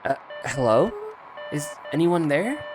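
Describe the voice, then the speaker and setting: A man's voice: on the high side, very animated, measured pace, music in the background, low-quality recording. A man voicing an uneasy, evasive young boy in a storybook game, hesitant as he brushes questions off.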